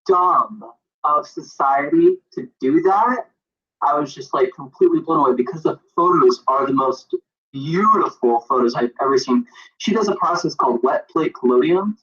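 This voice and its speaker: A young man from Maine, a photographer and author, rambling in an animated, self-deprecating way as an interview guest on a video call. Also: on the high side, measured pace. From Maine, USA. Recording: muffled lo-fi video call audio.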